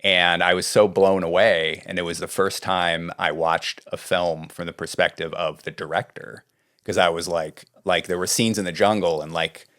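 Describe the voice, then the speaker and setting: A middle-aged man from California, a writing coach being interviewed, talking in a relaxed, rambling way. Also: sits low. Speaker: guest, script doctor and writing coach. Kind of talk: casual interview talk.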